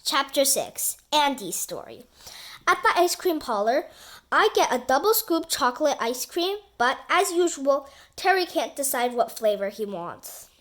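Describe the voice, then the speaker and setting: A woman reading a children's book aloud as expressive narration, with deliberate dramatic emphasis. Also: high-pitched. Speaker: storybook reader.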